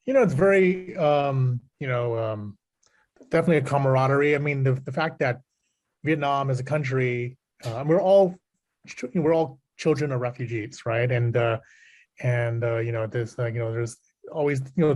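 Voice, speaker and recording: Mid-pitched man, festival director, halting and hesitant. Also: a little mellow. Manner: hesitant, halting, many fillers. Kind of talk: interview answer.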